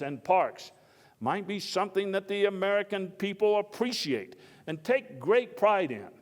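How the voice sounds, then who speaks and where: A man playing an elderly, folksy and opinionated early-twentieth-century American statesman and outdoorsman in a live historical speech, halting yet oratorical. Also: on the high side, a little mellow. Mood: proud, hopeful. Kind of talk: live dramatized first-person historical speech. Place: indoor hall before a live audience. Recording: echoey hall with long reverb.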